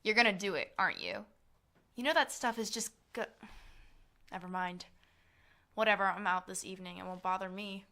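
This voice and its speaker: A woman acting as a blunt student flatmate in an audio drama. Her young voice is sharp and bright, and she talks in clipped, dry, sardonic phrases. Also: medium pitch, normal speed, long pauses. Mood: dry disapproval. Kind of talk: naturalistic audio drama dialogue.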